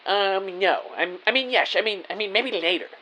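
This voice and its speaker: A man's voice: high voice, moderate pace, sharp tone, slight background noise, low-quality recording. A man playing an earnest, naive detective who narrates his own story in a scripted audio drama, with a theatrical delivery. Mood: hesitant, curious.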